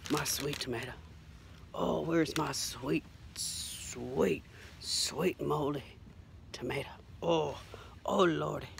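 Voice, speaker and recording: Comedian doing a crude rambling southern grifter character, creaky drawly voice, super melodramatic and repetitive, bit of a noisy recording. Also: medium pitch, exaggerated voice. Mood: breathy, woozy. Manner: drawling, melodramatic, repetitive, lurching from whispers to shouts. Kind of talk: improvised satirical comedy monologue.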